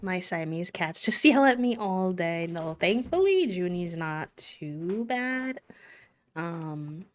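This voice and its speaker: A woman's voice: lowish voice, expressive, takes it slowly. An American woman chatting casually on a game stream in a rambling, self-deprecating way. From United States. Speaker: live streamer.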